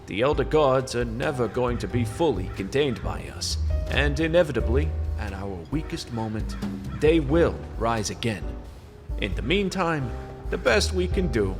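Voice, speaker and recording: Guy playing a gloomy noir detective, fantasy radio play, dramatic pitch swings, bit of music under it. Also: expressive, normal speed, lightly acted. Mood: resigned, wry. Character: hard-boiled fantasy detective, world-weary, knowing, dealer with demons.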